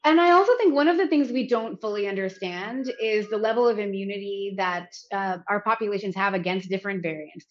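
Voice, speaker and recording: A woman's voice: medium pitch, brisk, hardly any pauses. Earnest American woman, muffled audio. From United States. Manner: earnest, articulate, with light laughs. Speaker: health company founder, guest. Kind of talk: interview conversation.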